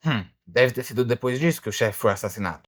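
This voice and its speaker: A man voicing a blunt, exasperated alligator detective, a ship's guard who questions people in a casual, muttering way, in an animated film. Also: mid-range voice, fast, natural voice. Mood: suspicious. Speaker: ship security guard turned detective. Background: faint music.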